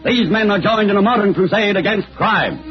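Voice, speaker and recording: A man delivers a dramatic 1940s radio serial introduction. His voice is pitched high. The recording is dull and lo-fi, with music behind him. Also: a little fast.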